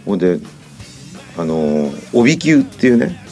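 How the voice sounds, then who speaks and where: A man's voice: deep voice, big pitch swings, measured pace, a little mellow, soft background music. A middle-aged man chatting casually and telling stories in a blunt, colloquial way on a studio podcast. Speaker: podcast host and musician. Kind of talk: casual chat.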